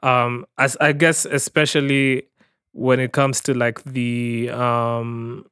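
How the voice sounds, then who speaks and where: A young man with a mid-pitched voice co-hosts a podcast in relaxed, casual chat. His voice is smooth and clear, and he asks questions and steers the conversation. The recording is close and dry. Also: takes it slowly, no room sound. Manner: inquisitive, steers the talk, reads out chart details. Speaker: podcast co-host.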